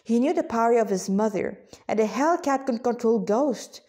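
A woman narrating a fantasy story informally, halting at times to repeat or correct her words and add asides. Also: a little high, lively intonation, a little mellow.

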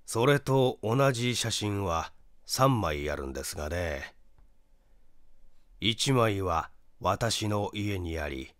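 A man playing a grave, regretful brother who explains in a measured, halting way, in a story reading. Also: fairly low pitch, animated, a bit bright, no room sound, clean studio sound. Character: grave, regretful brother recounting a family mystery.

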